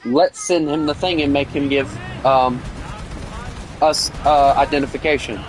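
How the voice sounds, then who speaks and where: Casting: young Southern US man, gaming streamer, slightly high voice, rambling chat, faint game noise behind. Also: fairly even intonation, measured pace. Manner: rambling, opinionated, with many fillers. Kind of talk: casual gameplay chat with viewers.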